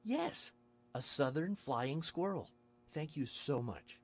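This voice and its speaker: A timid, swooping-voiced deputy. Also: male voice, a little high, expressive, slow, breathy edge, full character voice. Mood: eager, polite. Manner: hesitant, stammering, polite. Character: timid, gentle, eager-to-please male deputy. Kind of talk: video game voice acting.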